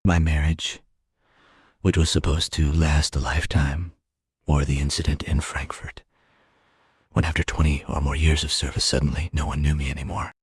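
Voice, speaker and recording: A man playing a world-weary police detective, narrating a crime audio drama in a measured, musing way. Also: rumbling low, level tone, breathy edge, dry recording, clean studio sound, full character voice. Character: world-weary male police detective telling his case.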